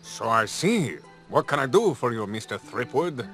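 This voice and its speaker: A man's voice: medium pitch, big pitch swings, normal speed, a bit bright, soft background music. An actor playing a smug, suave male rival in a video game, condescending and formal.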